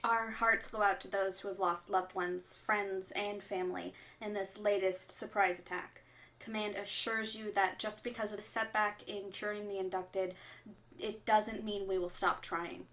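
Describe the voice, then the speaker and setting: A woman playing a composed resistance announcer who relays orders in a measured, formal way on a fictional radio broadcast in an audio drama. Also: mid-range voice, little pitch movement, fairly bright tone. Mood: solemn.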